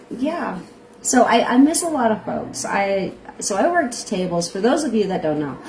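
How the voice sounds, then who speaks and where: A woman's voice: mid-range voice, darkish tone, a little hiss or hum, poor audio. A middle-aged woman with a strong accent chatting casually on a livestream, giving bread-baking tips and greeting viewers by name. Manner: says she has a strong accent.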